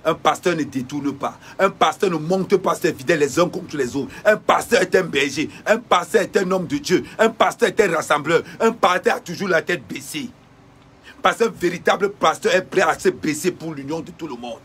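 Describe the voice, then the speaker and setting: Husky high-voiced man ranting. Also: normal speed. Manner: repetitive preacher-like cadence with shouted bursts. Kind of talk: impassioned denunciation.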